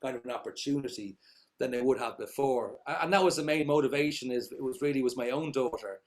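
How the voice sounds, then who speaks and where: Middle-aged Irish founder, average pitch, meandering answers full of ums and uhs. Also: male voice, fast talker. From Ireland. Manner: rambling, many fillers and restarts. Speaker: company founder, guest. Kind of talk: casual interview answers.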